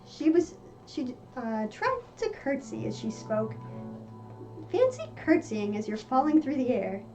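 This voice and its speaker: High, lilting woman reading a storybook. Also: big pitch swings, measured pace, music in the background, rough recording. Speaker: storybook reader. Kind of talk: expressive children's story read-aloud.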